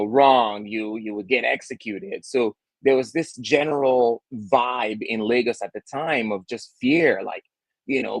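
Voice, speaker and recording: Earnest Nigerian-born man, low voice. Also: no echo, low-quality recording. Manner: many fillers and restarts, earnest. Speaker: startup founder, guest. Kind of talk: casual interview talk.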